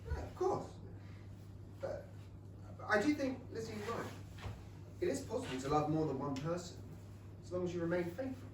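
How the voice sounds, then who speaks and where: A man playing an amiable, hesitant, romantic young Regency gentleman in a staged comedy reading, polite and wistful. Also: sits high, big pitch swings, normal speed, pauses often. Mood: mild, agreeable. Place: small theatre stage. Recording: distant mic, echoey room, loud background noise.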